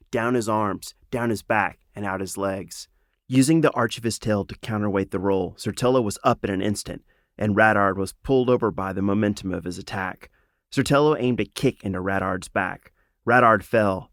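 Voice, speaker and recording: Low-voiced man narrating fantasy, very dry sound. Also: no echo. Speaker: author narrating own audiobook. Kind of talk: dramatic scripted fantasy narration.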